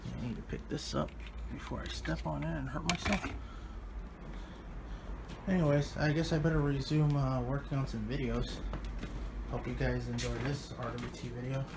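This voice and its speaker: A man with a medium-pitched voice talks casually to viewers in an offhand mutter. It is an unscripted hobby vlog caught on a phone, with clear noise around him. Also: steady tone, moderate pace, long pauses. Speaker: radio hobbyist filming videos. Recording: noisy phone video recording.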